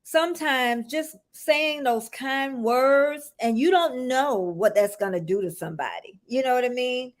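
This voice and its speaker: A fairly high-pitched woman hosting a relaxed online chat show. She is from the United States, talks in a chatty, easygoing way and laughs often. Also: unhurried, hardly any pauses. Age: older. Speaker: host. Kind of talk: casual live-stream chat.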